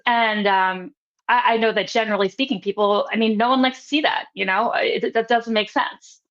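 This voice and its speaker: A woman hosting a local politics podcast, interviewing a candidate in a measured way, hedging with fillers. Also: a little high, fairly even intonation, fairly bright tone, highs cut off. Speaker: podcast host.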